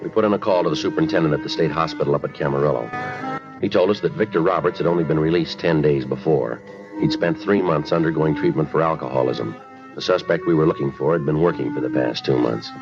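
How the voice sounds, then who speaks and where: An American actor playing a terse, matter-of-fact police detective in clipped 1950s radio drama style. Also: male voice, extremely low pitch, fast talker. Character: terse, businesslike male police detective narrating his case. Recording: dull vintage 1950s radio recording with music.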